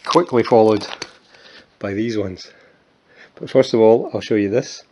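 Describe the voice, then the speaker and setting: A man's voice: lowish voice, measured pace, darkish tone, slight background noise, rough recording. A Scottish man in his fifties, chatting casually and with self-mocking humour while showing his coin collection, with plenty of fillers and restarts. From Scotland. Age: 50s. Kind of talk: casual show-and-tell vlog.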